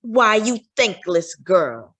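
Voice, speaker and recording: Storybook villain: wicked sorceress played by a woman, commanding and menacing, words stretched out with swooping pitch. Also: very animated, a bit bright, exaggerated voice. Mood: furious. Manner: stern, commanding, drawn-out threats.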